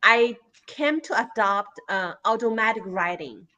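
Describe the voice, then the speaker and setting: A woman with a Chinese accent, a native Chinese speaker, talks as a guest poet in an interview. Her voice sounds bright and clear, at a middle pitch. She speaks haltingly and fills the gaps with many small hesitations. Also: expressive, muffled recording. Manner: hesitant, many fillers and restarts. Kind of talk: conversational interview answer.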